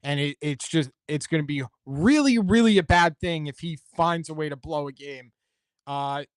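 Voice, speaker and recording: Higher-voiced man talking sports, clean and close. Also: normal speed, smooth, no echo. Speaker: sports talk show host. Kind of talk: casual sports commentary chat.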